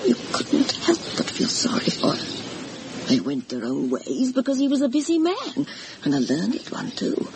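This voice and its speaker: Anxious housekeeper, swooping storyteller, noisy recording. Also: female voice, a little high, huge pitch swings, a little slow, heavily acted. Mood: gossipy, pitying. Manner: deferential, confiding, dramatic storytelling. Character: anxious, gossipy older housekeeper recalling family tragedy. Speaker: actress in a radio drama. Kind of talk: vintage radio horror drama acting. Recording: noisy vintage radio recording.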